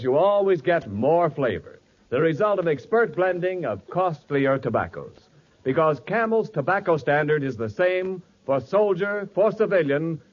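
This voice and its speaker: Emphatic 1940s radio announcer. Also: male voice, a little high. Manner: brisk, emphatic announcer delivery. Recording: dull lo-fi 1940s radio broadcast.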